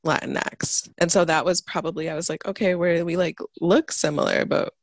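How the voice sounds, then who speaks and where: A woman's voice: fairly low pitch, fairly even intonation, moderate pace, dry recording, clean studio sound. A woman from the United States and Mozambique, a podcast guest, talking thoughtfully and searching for words as she shares her experience. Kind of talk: reflective casual conversation.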